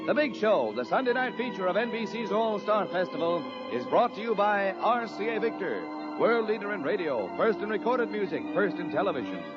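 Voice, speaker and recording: A man's voice: sits high, very animated, brisk, hardly any pauses. An American man announcing a 1950s radio show and its sponsors in a grand, emphatic showman style. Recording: muffled vintage radio broadcast over music.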